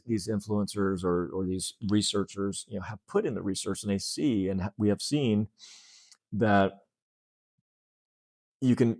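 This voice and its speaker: A man's voice: on the low side, a little mellow, no room sound, clean studio sound. A man in his late fifties talking earnestly and casually as an interview guest, often breaking off to restart. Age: late 50s. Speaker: fitness center owner, interview guest.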